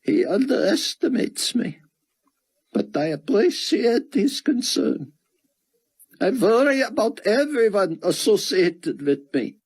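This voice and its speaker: Worried elderly rabbi, high and breathy, read by a male narrator. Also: big pitch swings, slow, darkish tone, full character voice, rough recording. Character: elderly male rabbi, soft-spoken, fearful for friends.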